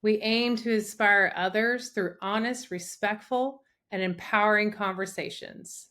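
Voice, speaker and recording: A woman's voice: mid-range voice, measured pace, bright, forceful tone. A woman co-hosting a podcast who also works as a business coach; she reads the show's intro in an upbeat way and opens the interview.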